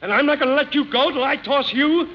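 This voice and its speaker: An actor playing a blustering, self-important hotel manager in a 1940s radio comedy, bossy and pompous. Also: male voice, extremely high pitch, fast talker. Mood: irate. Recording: dull 1940s radio broadcast.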